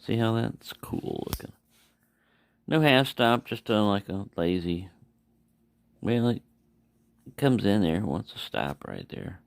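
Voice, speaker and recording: Man, slow pace, creaky low voice, casual reviewer, rambling, self-correcting, dry close sound. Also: very animated, dry recording. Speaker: knife reviewer. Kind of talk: casual unscripted product review chat.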